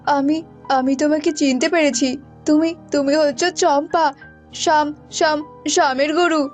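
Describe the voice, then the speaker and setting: A woman's voice: high-pitched, steady tone, a little slow, darkish tone. A woman voicing a frightened, guilty village man in a cartoon, stammering and repeating words, with music behind. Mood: frightened, pleading.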